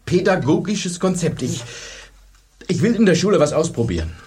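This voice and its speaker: Casting: driven history teacher, radio drama, high-set voice, earnest and teacherly. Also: male voice, sing-song, normal speed, a little mellow, slightly rough, full character voice. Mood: absorbed, pensive. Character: driven, thoughtful high school history teacher, married man. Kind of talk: German radio play acting.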